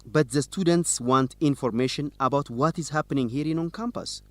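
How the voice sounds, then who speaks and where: A man's voice: a little high, a little fast, a bit bright, no echo, close to an everyday voice. An actor playing a dogged, defiant male student reporter in an educational radio drama, firm and challenging.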